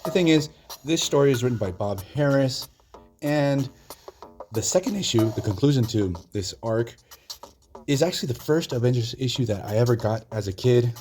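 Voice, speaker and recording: A hoarse man hosting his own channel, retelling a comic story in a loose, chatty way with lots of fillers. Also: mid-range voice, lively intonation, moderate pace, a little mellow, faint music behind. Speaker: comic book channel host. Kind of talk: casual solo video commentary.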